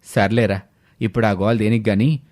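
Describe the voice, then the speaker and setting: A man plays a middle-aged village farmer in an audio story, pitched down deep. He is a gruff, stern, traditional father. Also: steady tone, brisk, a little mellow, no room sound, close to an everyday voice. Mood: dismissive. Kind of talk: scripted audio story dialogue.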